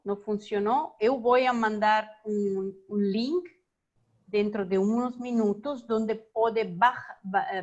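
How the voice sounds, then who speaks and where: A woman moderating an online meeting, introducing the next speaker in a halting way with many restarts. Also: mid-range voice, highs cut off. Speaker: webinar moderator.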